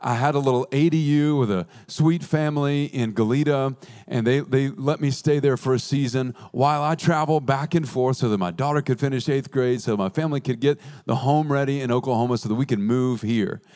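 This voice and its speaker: Man, pastor, sermon delivery, mid-range pitch, earnest, slows for emphasis. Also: a little mellow. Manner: earnest preaching with playful asides and slow emphatic repeats.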